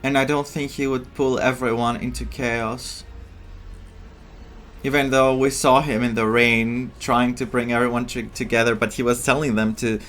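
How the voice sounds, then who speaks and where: A man giving casual, musing playthrough commentary as a game streamer, with halting reactions. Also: medium pitch, level tone, a bit bright. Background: game music.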